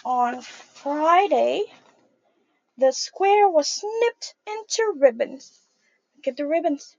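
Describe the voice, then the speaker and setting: A young woman reading a picture book aloud to young children in a sing-song, animated way, calling them her friends. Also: high voice, slow, creaky, highs cut off. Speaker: early childhood storyteller.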